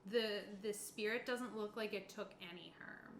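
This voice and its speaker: A woman's voice: mid-range voice, moderate pace, a bit bright. A woman running a tabletop game as game master, narrating casually and explaining as she goes. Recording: roomy echo with clear background noise.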